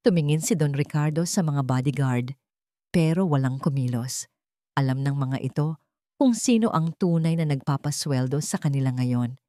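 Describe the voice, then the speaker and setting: A woman narrates a dramatic story from a script. Her voice sits fairly low, and the recording is dry, with little room sound. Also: moderate pace, dark tone, dry recording.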